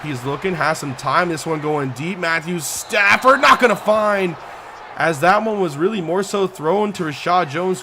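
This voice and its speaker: A young man with a high-pitched voice calls a football video game like a broadcast announcer. His voice jumps up on big plays and he sounds worn and hoarse. The game audio plays clearly behind him. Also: background noise. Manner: excitable, pitch climbing on big plays, yard-line countdowns. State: losing his voice. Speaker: gaming YouTuber doing play-by-play. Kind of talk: sports-style play-by-play commentary over a video game.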